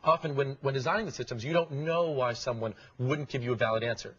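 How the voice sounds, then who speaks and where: A man's voice: medium pitch, fast, a bit bright, breathy edge. A man speaking as a guest expert, explaining things in an interview. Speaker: guest expert on speech recognition. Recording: dull lo-fi broadcast audio.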